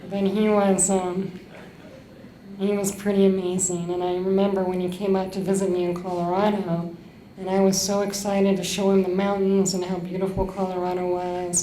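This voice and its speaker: A woman's voice: medium pitch, warm tone. A middle-aged woman giving a personal eulogy full of anecdotes, speaking into a stand microphone in an indoor hall. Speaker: eulogist at a memorial, sister of the deceased. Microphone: microphone on a boom stand. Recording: roomy echo, clear background noise.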